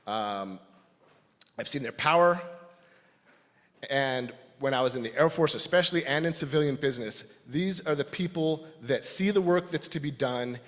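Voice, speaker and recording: Man, mid-pitched voice, lay church speaker, reflective personal talk, halting and thoughtful, long hall reverb. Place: large indoor church space.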